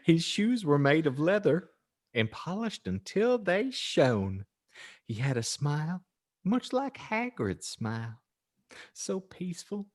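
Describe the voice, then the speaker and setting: A male podcast host narrates fan fiction like a mock-earnest audiobook. He takes his time, swings his pitch around theatrically and slips into jokey casual asides. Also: very animated, takes it slowly. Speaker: podcast host and story reader.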